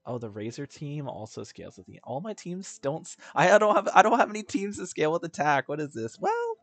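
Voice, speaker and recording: A man's voice: a little high, huge pitch swings, fairly quick, dry recording, faint music behind. A man giving casual gameplay commentary, rambling and thinking aloud as he plays. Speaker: video game streamer.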